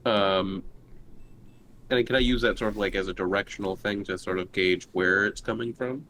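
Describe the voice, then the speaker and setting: Male tabletop player, low voice, casual chat, faint background noise. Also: fairly even intonation, normal speed, bright, forceful tone. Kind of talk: casual chat, playing a role in own voice.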